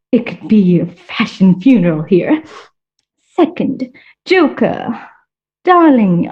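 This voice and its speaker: A woman playing a vain, flamboyant female fashion-designer villain, with a theatrical, mocking drawl full of "darlings". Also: on the high side. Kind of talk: stage monologue in character. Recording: dull lo-fi recording.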